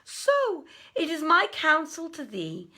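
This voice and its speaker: A woman voicing a wise male wazir who gravely counsels his king in formal, emphatic, declamatory storybook language. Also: sits high, huge pitch swings, slow pace, sharp tone, pitched above the performer's own voice, exaggerated voice. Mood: earnest, urgent. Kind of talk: storybook reading of an old tale.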